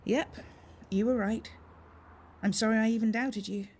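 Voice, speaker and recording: An actress playing a worried, apologetic shopkeeper in a radio soap. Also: female voice, on the high side, fairly even intonation, normal speed, darkish tone, husky.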